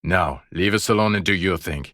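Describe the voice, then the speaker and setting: An actor playing a world-weary, fed-up police detective in a video game, profane and sneering, with deadpan sarcasm. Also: male voice, low-pitched, normal speed, no echo, clean studio sound. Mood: scornful.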